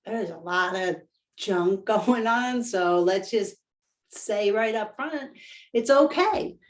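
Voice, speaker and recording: A woman's voice: mid-range voice, lively intonation, slow. Woman webinar presenter, upbeat and enthusiastic, laughs often, video-call sound. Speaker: workshop presenter. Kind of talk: live webinar talk.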